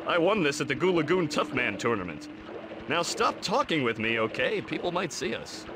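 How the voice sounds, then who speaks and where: Irritable, high-voiced lobster jock. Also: male voice, big pitch swings, moderate pace. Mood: smug, dismissive. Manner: surfer-dude brashness. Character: vain, irritable young male lobster jock lifeguard. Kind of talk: cartoon video game acting. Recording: clearly noisy game audio.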